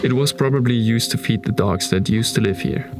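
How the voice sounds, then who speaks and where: A man with a German accent narrating a documentary about an abandoned manor in a calm, measured tone. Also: mid-range voice, over music. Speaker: narrator of urban exploration videos.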